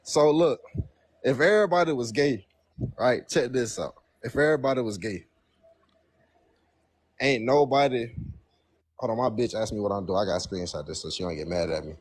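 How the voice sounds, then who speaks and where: A young man of nineteen with a husky, slightly rough voice, arguing his point in loose street slang. He repeats phrases and gets defensive when pressed, speaking at a middle pitch. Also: normal speed, long pauses. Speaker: caller. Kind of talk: casual debate on a call.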